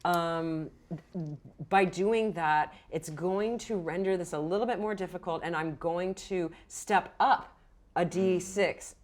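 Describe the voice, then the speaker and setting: A woman acting as game master, narrating a tabletop game casually with animated, exclamatory reactions. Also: lowish voice, slow.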